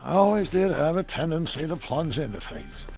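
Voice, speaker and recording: An eccentric older scientist for an adventure game, high-pitched and creaky. He talks in wordy, theatrical bursts, fretful and emphatic, over a music bed. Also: very animated, moderate pace, a little mellow. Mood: worried explaining. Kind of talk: animated video game voice acting.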